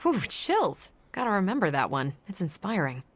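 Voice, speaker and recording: A woman's voice: lowish voice, big pitch swings, normal speed, a little hiss or hum. A woman playing a confident, teasing, breezy superhero in a video game. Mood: upbeat, playful. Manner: wry, playful banter.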